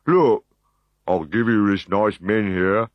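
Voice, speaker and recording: A hulking, good-natured storybook Gaul drawls each word slowly and heavily, as a man plays him. His voice sits low. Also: lively intonation, no echo, lo-fi sound. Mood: pleading. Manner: slow, ponderous, pleading.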